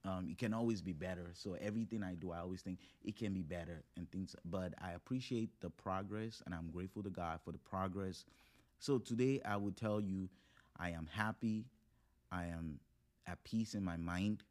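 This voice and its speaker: Deep-voiced, earnest man in his thirties. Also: moderate pace, a little mellow. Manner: earnest, builds points in short phrases. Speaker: podcast guest. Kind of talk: casual, motivational conversation.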